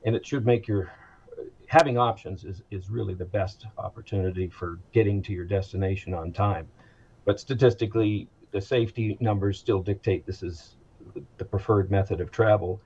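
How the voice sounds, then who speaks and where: An American man speaking as an expert guest in an interview, explaining things in a measured, matter-of-fact way. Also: lowish voice, fairly even intonation, a little mellow, slightly rough, muffled recording. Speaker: aviation executive, interview guest.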